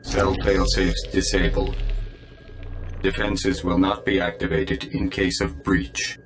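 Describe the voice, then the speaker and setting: Deep impersonal security computer announcement for a game, echoey and noisy. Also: male voice, fairly even intonation, slow. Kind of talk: video game voice acting.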